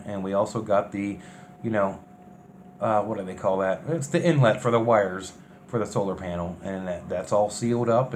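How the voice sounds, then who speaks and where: A man giving casual, unscripted narration over DIY build footage in a folksy, colloquial way. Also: fairly low pitch. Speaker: vlogger recapping a DIY bus conversion. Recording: clear steady background noise, ambience.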